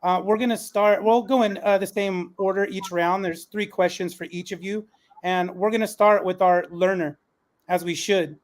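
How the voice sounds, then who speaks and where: A man moderating a panel discussion in an encouraging, conversational way, as an educator hosting questions. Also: high voice.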